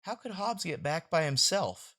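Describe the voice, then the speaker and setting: A practical, motherly mom, read by a woman. She is matter-of-fact and mildly exasperated, with a bright, clearly inflected voice suited to a read-aloud comic. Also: on the low side, expressive, moderate pace. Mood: calm, caring.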